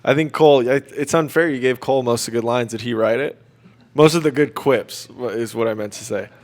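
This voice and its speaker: A man's voice: medium pitch, fairly quick, a little mellow. A young man casually interviewing classmates as the host of a student radio Q&A. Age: young adult.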